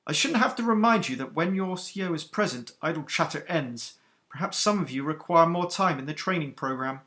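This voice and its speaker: A man's voice: on the high side, animated, a little fast, bright, forceful tone, pitched above the performer's own voice. A man voicing a stern, commanding woman starship captain with a clipped alien accent. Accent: stern alien Celestian accent. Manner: clipped and authoritative.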